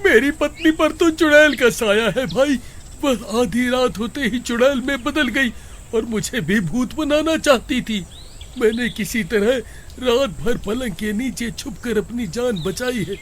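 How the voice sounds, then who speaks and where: A man's voice: extremely high pitch, a little slow, fairly bright tone, a little hiss or hum. A man playing a cowardly, whiny husband who frets and exclaims in a comic horror story. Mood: panicked. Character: panicky cowardly husband hiding from his witch wife.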